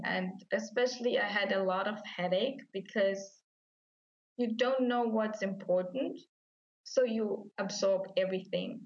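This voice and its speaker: Austrian woman, lively storyteller. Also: mid-range voice. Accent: Austrian German. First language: German. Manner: lively, acts out her own past thoughts in quotes. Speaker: guest. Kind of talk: interview storytelling. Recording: slightly dull lo-fi sound.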